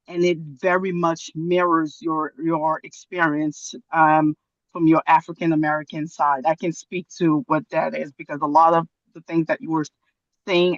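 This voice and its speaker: A woman from Haiti giving a long comment on a video call, pausing often with fillers and restarts. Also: sits low, dry recording, lo-fi sound. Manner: hesitant, many fillers and restarts. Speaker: audience member commenting.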